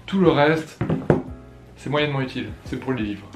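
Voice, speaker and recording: A man's voice: mid-range voice, normal speed. A young man from France answering viewer questions in a casual vlog, playful and joking at his own expense. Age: young adult. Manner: playful, jokey, laughs at himself. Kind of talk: casual Q&A vlog, switching between French and Chinese. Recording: echoey room with soft background music.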